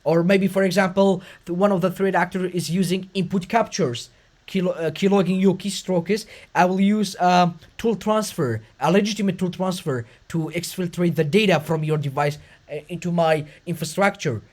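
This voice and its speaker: High-pitched host, lots of uh and restarts, explaining stuff. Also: male voice, darkish tone. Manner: fast, effortful talk with frequent fillers and restarts. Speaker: podcast host. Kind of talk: conversational interview.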